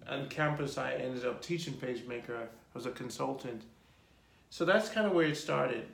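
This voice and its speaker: A man in his forties, an artist telling his life story in a relaxed, rambling way during an interview. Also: medium pitch, normal speed, gravelly. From Jamaica. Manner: rambling lists, relaxed and reflective. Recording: echoey room.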